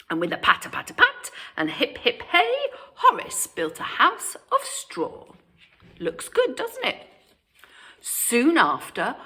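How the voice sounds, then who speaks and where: A woman's voice: fairly high pitch, sharp tone. A woman with a British accent reading a children's story aloud with animation, turning aside to question her young listeners. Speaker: primary school storyteller.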